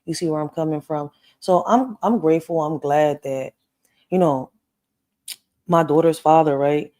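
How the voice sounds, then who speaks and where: A woman's voice: low-pitched, unhurried, dark tone. A woman from Puerto Rico talking straight to her viewers about parenting and family, emphatic, checking in often with "right?" and "you know". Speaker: parenting vlogger. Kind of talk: casual personal monologue to viewers.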